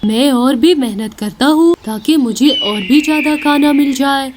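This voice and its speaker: Lady doing a hardworking boy bird in a kids cartoon, plain earnest talk, high voice, faint noise behind. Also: unhurried. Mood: dutiful. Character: hard-working male forest birds, dutiful and fretful. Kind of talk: children's cartoon story voice.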